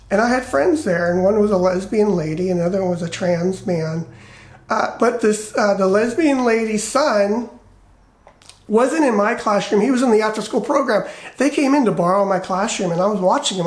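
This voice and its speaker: A middle-aged American man with a light, effeminate voice, telling personal stories casually in a rambling, animated way and acting out quoted dialogue. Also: high voice. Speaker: retired teacher. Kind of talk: casual personal storytelling and commentary. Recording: roomy, echoey indoor sound.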